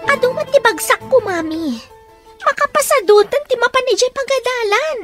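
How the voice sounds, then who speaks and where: A very high-pitched whiny spoiled daughter. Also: female voice, lively intonation, normal speed, music in the background. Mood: whining. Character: spoiled, whining young student daughter. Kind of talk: Ilocano radio drama acting.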